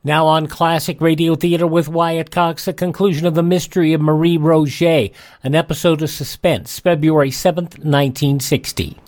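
A man's voice: a little high, flat delivery, moderate pace, a little mellow. A man hosting a radio programme, giving scripted announcements in broadcast style. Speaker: radio show host, announcer.

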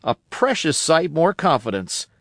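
A man voicing an eager, cunning old marooned sailor who talks in a rough rustic dialect. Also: fairly high pitch, big pitch swings, measured pace, fairly bright tone, dry recording, clean studio sound, pitched above the performer's own voice, full character voice. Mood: insistent. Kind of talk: audiobook character voice.

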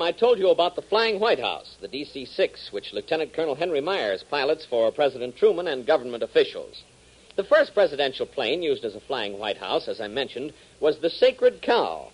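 A man's voice: on the high side, husky, rough recording. An American man announcing and narrating a 1940s radio serial in a dramatic, emphatic scripted style. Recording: old 1940s radio transcription.

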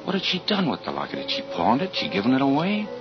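An actor playing a suspicious, uneasy husband and father who narrates in a tense, halting, deliberate way in 1940s radio style. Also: mid-range voice, wildly swooping pitch. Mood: brooding, tense. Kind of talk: 1940s radio acting with first-person narration. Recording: dull vintage 1940s radio with music.

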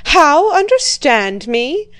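A woman voicing an irascible, domineering old prince who shouts at his daughter. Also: slow pace, no room sound. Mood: angry. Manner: shouting, rising pitch.

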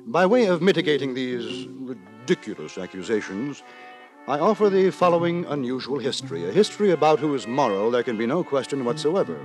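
A man's voice: mid-range voice, very animated, fairly quick, soft background music, natural voice. A man playing a pompous, indignant writer who narrates in a florid, mock-grave manner for 1950s radio theater.

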